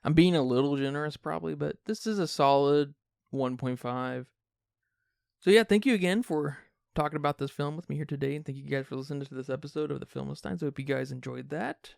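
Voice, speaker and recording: A man's voice: on the high side, brisk, creaky, smooth, dry recording, studio quality. A man hosting a film review podcast in a casual, conversational way. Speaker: podcast host.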